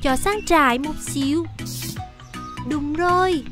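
A woman's voice: high-pitched, takes it slowly. A woman with a central Vietnamese accent voicing a gushing, polite young schoolteacher in a children's cartoon. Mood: excited, gushing. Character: bubbly, sparkly young female schoolteacher. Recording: music behind the voice.

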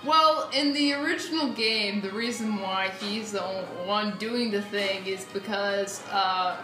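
A woman's voice: mid-range voice, measured pace. A woman chatting casually as she plays a video game. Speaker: let's play gamer. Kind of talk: casual chat while playing a video game. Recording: roomy sound, game music behind. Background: video game music.

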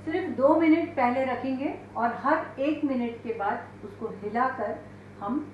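A woman in her sixties with an Indian accent hosts a cooking demonstration, explaining each step. Her voice sits a little high. The recording is roomy and slightly muffled, picked up from a few feet away in a home kitchen. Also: measured pace, slight background noise. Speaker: chef, cooking show host.

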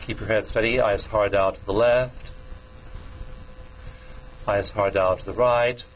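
Middle-aged male practitioner on a lapel mic, calm and steady, short guided phrases with a touch of humor. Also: on the low side, measured pace, slight background noise, rough recording. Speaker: tapping practitioner. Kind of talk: guided tapping demonstration. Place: small room with green walls, seated.

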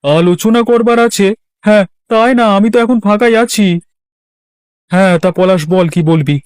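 A man's voice: sits high, normal speed, clear, resonant tone, dry recording, clean studio sound, close to an everyday voice. A man voicing a friendly, eager village shopkeeper uncle in a cartoon, chatty and obliging. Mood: welcoming, curious. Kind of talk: Bangla cartoon voice acting.

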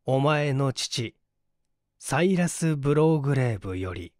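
A man reading aloud a father's solemn, admonishing testament to his son, deliberately, in an audiobook mystery. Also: medium pitch, expressive, darkish tone, no room sound, studio quality, close to an everyday voice. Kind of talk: audiobook letter read aloud.

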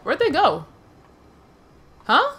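A woman's voice: high-pitched, wildly swooping pitch, extremely slow, a bit bright, slight background noise. A woman casually commenting on her own gameplay, chatty and laughing, swearing, and talking to herself. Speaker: let's play gamer.

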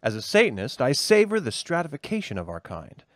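A man's voice: mid-range voice, huge pitch swings, brisk, no room sound. Man reading an essay, dry close sound. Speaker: co-host reading an essay aloud.

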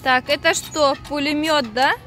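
High-voiced chatty young mother. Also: steady tone, a little slow, bright, forceful tone. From Dagestan. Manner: chatty, laughs often, talks to viewers. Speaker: family vlogger, mother. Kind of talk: casual family vlog chat. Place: outdoor park, later inside a car.

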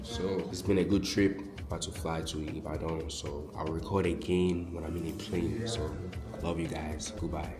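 A man's voice: extremely low pitch, normal speed, loud music behind the voice. A young man with an Atlanta accent, casually vlogging his trip on a handheld camera, slangy and laid-back. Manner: laid-back slangy talk, loud and excited at times. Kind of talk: casual travel vlog. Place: various spots indoors and outdoors. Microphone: handheld camera at arm's length.

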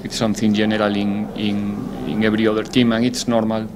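A man, a football head coach, answering press conference questions in a measured, deliberate way, often pausing to find his words. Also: fairly low pitch, level tone, background noise.

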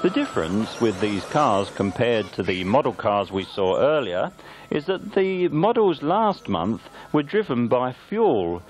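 A man's voice: medium pitch, very animated, unhurried, a little hiss or hum. A man narrating a local amateur newsreel from a script. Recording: band-limited, dull lo-fi videotape sound.

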